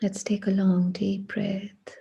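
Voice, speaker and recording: A woman leads a guided meditation at a very slow pace, leaving long pauses between short phrases. Her voice is very husky and breathy, with a soft, mellow tone. The delivery is hushed and deliberate. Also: mid-range voice, monotone, highs cut off.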